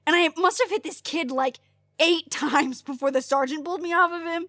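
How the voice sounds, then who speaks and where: A woman's voice: extremely high pitch, moderate pace, pushed and bright, dry recording, clean studio sound. A woman playing a brash, chatty, sarcastic agent with a blunt way of talking in an audio drama. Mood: boastful. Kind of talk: naturalistic audio drama dialogue.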